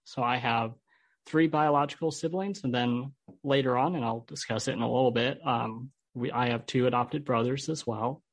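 A man's voice: mid-range voice, no room sound, low-quality recording. A man with an American accent, a podcast guest telling his personal story in an interview. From Holland, United States.

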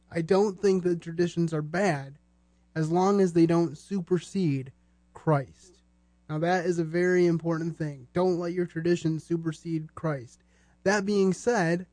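A man hosting a podcast in a conversational style, with measured, halting phrasing. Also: high voice, darkish tone, no room sound. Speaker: podcast host. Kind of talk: conversational podcast presenting with religious commentary.

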